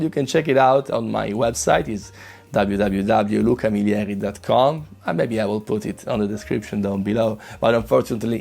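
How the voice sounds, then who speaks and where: A man with an Italian accent chatting casually about guitars, his voice rough after a flu, hesitant with many restarts. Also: on the low side, darkish tone. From Italy. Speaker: guitar gear reviewer. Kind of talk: casual demo chat to camera.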